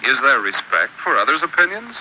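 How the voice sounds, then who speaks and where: Man, instructional film narrator, very bright tone, lively pitch, measured rule-by-rule delivery, old film soundtrack. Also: slight background noise, poor audio. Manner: measured, instructive, lists rules one by one. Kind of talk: scripted educational narration.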